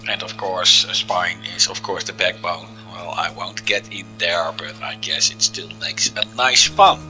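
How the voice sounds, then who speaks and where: A man with a Dutch accent, a native Dutch speaker, casually commentating on a game he plays, relaxed and hesitant with fillers. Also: low-pitched, a little slow, music in the background, poor audio. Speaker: let's play commentator.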